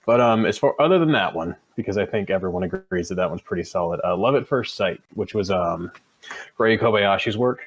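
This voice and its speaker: American man, low and husky, casual developer chat, jargon-heavy, self-deprecating. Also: expressive, brisk. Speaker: video game developer.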